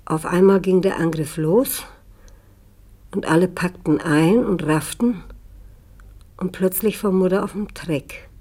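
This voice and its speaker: A woman's voice: fairly low pitch, measured pace, pauses often, darkish tone. An older woman in a radio drama playing a kindly old aunt who reminisces in a homely, rambling, self-questioning way. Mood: searching memory.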